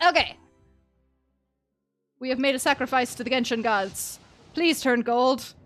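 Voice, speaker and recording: Woman streaming a game, bright voice, kinda slow, playful little mumbly noises, some music behind. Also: sits high. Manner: playful, mutters little sound words to self. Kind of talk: casual livestream gameplay chat.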